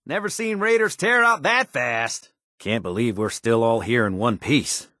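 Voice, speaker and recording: An actor playing a folksy, wisecracking American tinkerer in a video game, talking in casual slang. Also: male voice, medium pitch, lively intonation, measured pace, bright, forceful tone, no room sound, clean studio sound. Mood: elated, grateful. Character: folksy, wisecracking male tinkerer and scrappy settler ally.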